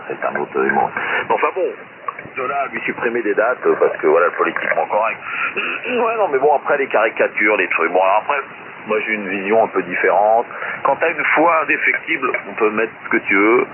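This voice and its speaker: Low-voiced man on noisy shortwave radio. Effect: shortwave ham radio transmission. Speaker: amateur radio operator. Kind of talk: casual opinionated radio chat. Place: amateur radio link. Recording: noisy narrow-band shortwave radio link.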